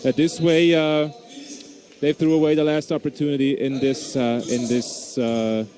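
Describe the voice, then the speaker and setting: A man's voice: on the high side, little pitch movement, measured pace. A man with a Swiss German accent, a non-native English speaker, giving live basketball play-by-play with frequent hesitations and restarts. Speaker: basketball commentator.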